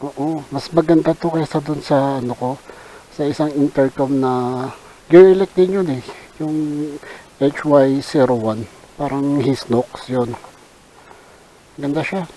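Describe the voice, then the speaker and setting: A Filipino man giving casual unboxing commentary as a vlogger, halting and thinking aloud in an unscripted way. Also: medium pitch, mellow, low-quality recording. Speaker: vlogger reviewing a product. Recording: hissy background noise.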